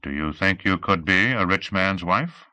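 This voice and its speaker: A man's voice: low voice, lively intonation, unhurried, sharp tone, no echo, heavily acted. A narrator voicing a cautious rich man. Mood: wary. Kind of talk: audiobook character voice.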